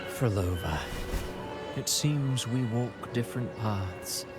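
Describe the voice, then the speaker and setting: A man playing a suave, philosophical villain playwright in a video game, measured and pompous in a theatrical way, with music behind. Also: mid-range voice, lightly acted. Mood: suave, condescending. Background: loud game music.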